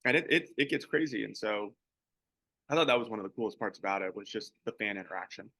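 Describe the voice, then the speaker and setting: A young man who plays pro baseball, co-hosting a podcast and chatting in a relaxed, rambling way. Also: fairly low pitch, fast talker, vocal fry, no echo, low-quality recording. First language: English. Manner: relaxed, rambling, many restarts. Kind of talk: casual podcast chat.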